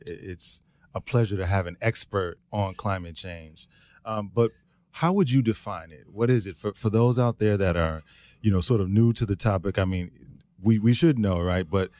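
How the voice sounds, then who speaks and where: Very deep-voiced male podcast host. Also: a little mellow, no room sound. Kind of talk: casual interviewing.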